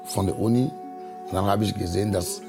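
A man, telling his story slowly and hesitantly in an interview. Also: on the low side, lively intonation, creaky, over music. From Togo. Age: 30s. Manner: hesitant, many fillers, non-native grammar. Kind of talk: slow, halting personal account.

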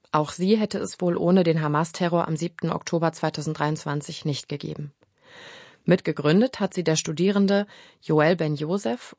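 Low-voiced woman reading a news feature. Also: a little mellow, dry recording, clean studio sound. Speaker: radio reporter. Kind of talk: scripted news narration.

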